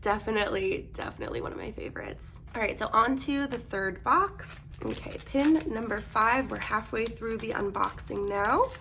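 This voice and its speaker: A young woman vlogger chatting casually through an unboxing, bubbly and gushing, her voice hoarse as she loses it. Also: fairly high pitch, moderate pace, few pauses, fairly bright tone, slight background noise.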